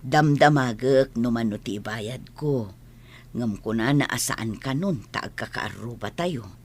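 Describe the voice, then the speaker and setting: A woman's voice: extremely low pitch, takes it slowly, breathy edge, full character voice. A Filipino woman playing an injured elderly mother in an audio drama, speaking plaintively. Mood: calm, advising. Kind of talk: Ilocano audio drama acting.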